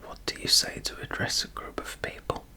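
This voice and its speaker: A man from England with a mixed English and Welsh accent, doing a whispered ASMR accent tag, soft and hesitant with many pauses. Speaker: guest on girlfriend's ASMR channel.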